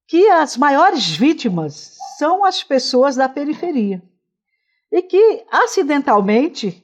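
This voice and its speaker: A woman from Northeast Brazil hosting her channel and interviewing a guest, often halting and restarting her questions. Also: fairly high pitch, a little mellow, highs cut off. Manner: hesitant, with many fillers and restarts.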